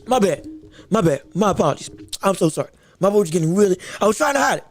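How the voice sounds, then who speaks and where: Scratchy-voiced excitable man hosting a podcast. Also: high-pitched, fast talker, dry recording. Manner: excitable, rambling, many fillers and restarts. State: scratchy, strained voice. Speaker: podcast host. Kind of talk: solo sports and wrestling podcast chat.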